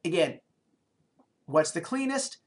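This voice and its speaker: A middle-aged man teaches programming over a screencast. He talks from a small office into a desk webcam. He explains each step aloud and often pauses, restarts or adds fillers as he thinks. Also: fairly high pitch, sing-song. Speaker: programming lecturer. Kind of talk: screencast coding tutorial.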